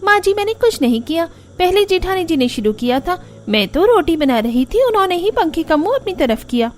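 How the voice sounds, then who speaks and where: A woman's voice: very high-pitched, big pitch swings, brisk, a little mellow. A woman voicing a young, whiny and indignant daughter-in-law in a cartoon story, complaining dramatically. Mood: defensive. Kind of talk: Hindi cartoon story dialogue. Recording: music under the voice.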